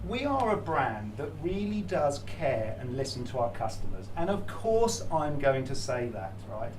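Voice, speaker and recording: A man's voice: mid-range voice, big pitch swings, a little slow. A man presenting for a brand to a live audience in an upbeat, persuasive sales manner. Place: hotel function room. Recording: distant mic with faint room noise.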